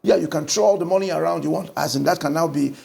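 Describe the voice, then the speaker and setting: A middle-aged man with a Nigerian accent speaks in a slightly raised, gravelly voice, recorded close on a lapel mic. He talks through ideas like a pastor in conversation, animated and expressive as he explains. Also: fairly high pitch, fast. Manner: animated storytelling with lively gestures. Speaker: pastor and guest. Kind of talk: conversational book discussion. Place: indoor studio set.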